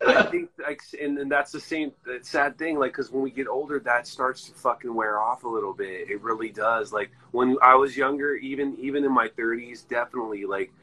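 A man in his forties chats casually as a podcast host, drifting from one story to the next and swearing freely. His voice is bright and forceful, with a husky edge. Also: medium pitch, steady tone, a little fast, few pauses, poor audio. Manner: rambling, profanity-laced storytelling. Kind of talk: casual chat.

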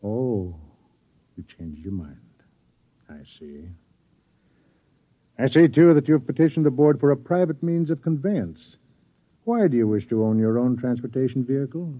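A man's voice: medium pitch, lively intonation, moderate pace, pauses often, close to an everyday voice. Man playing a tinny-sounding, officious government interrogator. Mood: coolly suspicious. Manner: formal, officious, insinuating. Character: stern, suspicious male government interrogator. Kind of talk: old-time radio drama acting. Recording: thin phone-like old radio recording.

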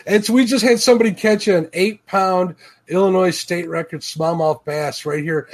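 A man's voice: sits high, fairly even intonation, moderate pace. A man from Chicago with a Chicago accent, hosting a fishing livestream in a rambling, self-correcting chat.

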